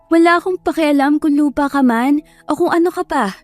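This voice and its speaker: A sweet and determined young woman in an audio romance, played by a woman narrator. Her high voice is affectionate and emphatic. It sounds dry and close, with light music behind it. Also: brisk, dry recording, natural voice. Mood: insistent. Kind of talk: dramatized audio love story.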